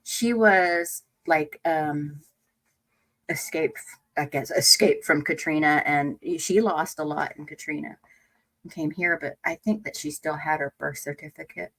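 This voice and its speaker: Chatty woman with a lowish voice. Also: fairly bright tone, poor audio. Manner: chatty, lots of "like", quick laughs. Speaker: co-host reacting to a video. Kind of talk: casual reaction chat.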